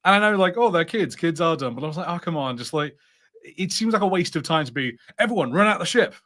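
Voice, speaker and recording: A man co-hosting a podcast, chatting casually with halting starts and acted-out imagined lines. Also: on the high side, expressive, bright, forceful tone. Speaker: podcast co-host. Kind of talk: casual review chat.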